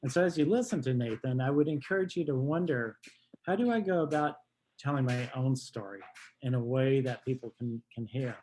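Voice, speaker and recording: A man, a minister, giving an informal, halting and deliberate introduction at an online workshop. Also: mid-range voice, expressive, mellow, a little hiss or hum, poor audio. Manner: halting, deliberate, with frequent pauses. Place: online video call.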